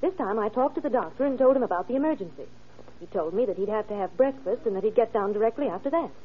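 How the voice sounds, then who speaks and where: An earnest secretary in a vintage radio crime drama. Her fairly high voice moves quickly with animated intonation, concerned yet precise. The old recording sounds dull. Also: breathy edge. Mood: certain, earnest. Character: earnest, worried female secretary at a doctor's office.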